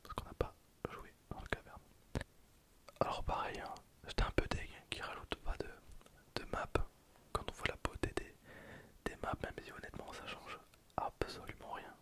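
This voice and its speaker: Whispering ASMR gamer. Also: normal speed. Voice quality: breathy, unvoiced whisper. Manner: casual slangy asides with hesitant trailing phrases. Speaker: host of an ASMR gameplay channel. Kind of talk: whispered ASMR gaming commentary.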